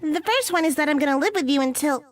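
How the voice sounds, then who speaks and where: A woman's voice: high-pitched, animated, fast talker, soft background music. A woman voicing a bossy, prim, teasing young witch girl in a visual novel game.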